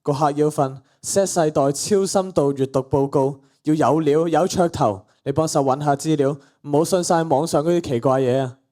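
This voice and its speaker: A man's voice: on the high side, normal speed, few pauses, mellow, lightly acted. A teenage boy with a Cantonese accent playing an exasperated, principled young content creator in a radio play, with a sighing, grumbling manner. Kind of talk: student radio play acting.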